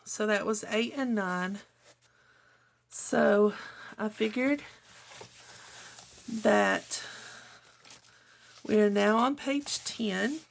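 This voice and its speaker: A middle-aged woman with a slightly hoarse voice, chatting casually to viewers as a craft channel host in a homely, rambling way. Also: medium pitch, slight background noise. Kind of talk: casual chat to viewers while journaling, partly reading own notes.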